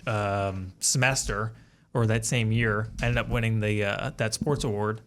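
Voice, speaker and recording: A man's voice: fairly low pitch, steady tone, moderate pace, fairly bright tone, slight background noise. A young man in his twenties, a guest on a college radio show, telling stories in a rambling, loose way with lots of fillers. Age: 20s. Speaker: guest, alumnus. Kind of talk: casual interview chat.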